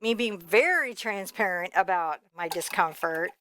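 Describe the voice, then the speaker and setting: A woman's voice: fairly low pitch, a little slow, fairly bright tone, dry recording. A woman giving a casual step-by-step painting lesson, thinking aloud in a candid, informal way with rambling asides. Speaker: painting instructor.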